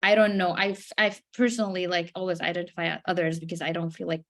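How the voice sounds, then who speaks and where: A woman's voice: fairly low pitch, flat delivery, fast, a bit bright. A woman from Syria asks a question during a medical seminar. She picks her words carefully, stopping and restarting as she tries to frame her point. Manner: searching for words, hesitant, restarts. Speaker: resident asking a question.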